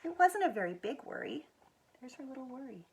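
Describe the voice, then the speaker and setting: An American woman with a husky voice reads a children's picture book aloud expressively, stretching words for emphasis. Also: high-pitched, slight background noise. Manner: expressive, with drawn-out emphasis and quiet asides to show pictures. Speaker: executive director of a schools foundation, guest reader.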